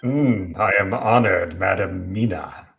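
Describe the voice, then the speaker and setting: A man's voice: deep voice, slow pace, vocal fry, a bit bright, a little hiss or hum, pitched below the performer's own voice, full character voice. A man voicing a grandiose vampire prince with formal, gallant manners, as in an amateur radio play. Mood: courtly, suave. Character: grandiose, courtly vampire prince wooing a lady.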